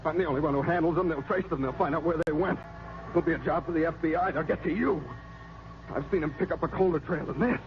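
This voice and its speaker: A man's voice: on the high side, fast, lightly acted. An actor playing a nervous, weak-willed man who pleads anxiously in an old radio drama. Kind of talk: 1940s radio drama acting. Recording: muffled, noisy old radio recording.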